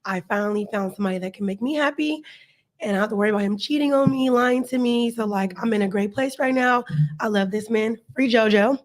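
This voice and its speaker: A woman's voice: on the high side, fast talker. A woman of thirty, a panel guest on a podcast, speaking confidently and emphatically. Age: 30s. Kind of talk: casual chat.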